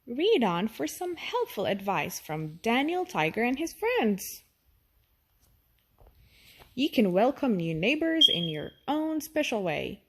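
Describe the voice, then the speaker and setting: A woman reading a children's storybook aloud, expressive and addressing the young listener directly. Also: fairly high pitch, slow pace. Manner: expressive, asks the listener questions.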